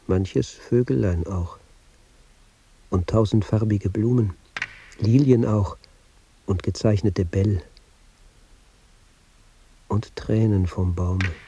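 A tender, solemn man reciting old verse in a radio play. His low voice is very dark and soft, moving slowly in measured, hushed phrases. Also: a little hiss or hum. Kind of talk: poetic recitation in radio drama.

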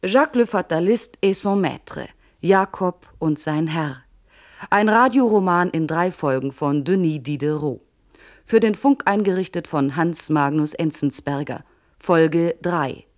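A woman reads as a formal radio announcer. Her voice is a touch low, and she delivers the scripted credits at a slow, measured pace.

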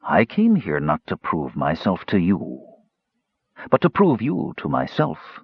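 Man voicing a low, cool, mysterious stranger. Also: wildly swooping pitch, a little slow, vocal fry, husky, no room sound, lo-fi sound, exaggerated voice. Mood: calm, amused.

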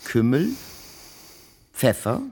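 An actor in a German radio play playing a dutiful young merchant's clerk, evasive and discreet, with polite formal speech. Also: male voice, mid-range voice, big pitch swings, drawn-out words, gravelly, warm tone, husky, full character voice. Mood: helpful, hurried.

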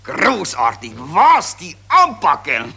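An actor playing a credulous Viennese newspaper addict, eagerly asking questions in a 1940s radio play. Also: male voice, on the high side, huge pitch swings, slow, gravelly, heavy background noise, exaggerated voice. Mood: excitable. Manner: eager, naive, insistent questioning. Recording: old 1940s radio recording.

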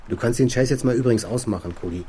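A man's voice: medium pitch, fast talker, mellow, a little hiss or hum, lightly acted. An actor playing a laid-back, slightly needy male buddy in a German radio crime drama, dry and colloquial. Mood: casual, hopeful.

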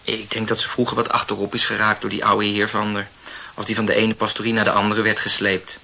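Cheeky, eager young man student in a radio play; sharp, raspy voice, chatty delivery. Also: on the low side, monotone, brisk, full character voice. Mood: assured.

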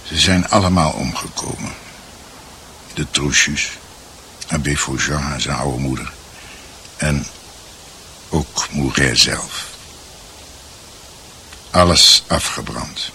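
An actor in a radio drama playing a grave man who recounts a family tragedy in a measured, sombre way. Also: rumbling low, creaky, bright, forceful tone, full character voice. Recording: faint hiss.

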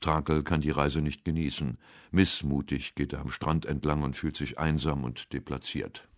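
A man narrating a scripted literary biography for radio in a measured, articulate way. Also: rumbling low, steady tone, no echo, clean studio sound.

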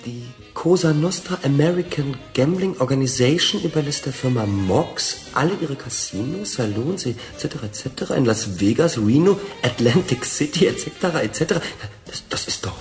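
A man plays a dithering, pompous heir in a comic sci-fi radio drama. He stammers and declaims theatrically, his pitch swinging widely. Faint music runs beneath him. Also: animated, moderate pace, few pauses, natural voice. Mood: grandiose delight. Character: dim, pompous, dithering male company heir, easily duped. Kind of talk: German radio play acting.

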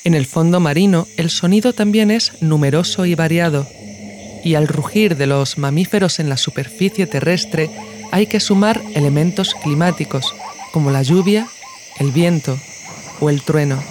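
A woman narrates a scripted essay as podcast host in a measured, literary way. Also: low voice, darkish tone, a little hiss or hum.

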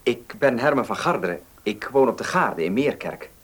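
A man's voice: mid-range voice, animated, normal speed, a bit bright, breathy edge. A man playing a determined young heir in a Dutch radio play. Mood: polite. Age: young adult. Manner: defiant. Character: defiant young heir wanting to marry.

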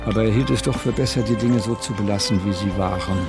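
A man narrating a literary radio drama in a measured, scripted storytelling style. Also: fairly low pitch, lightly acted. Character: measured male narrator of a 1930s Berlin story. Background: music.

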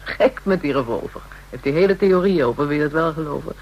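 A hardened, jealous Dutch woman in a radio play. Her voice is husky and rough-edged. She talks in brash street slang with a mocking, taunting manner. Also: low voice, lively intonation, fast, muffled recording. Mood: scornful, chatty. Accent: Dutch (Netherlands). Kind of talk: radio drama acting.

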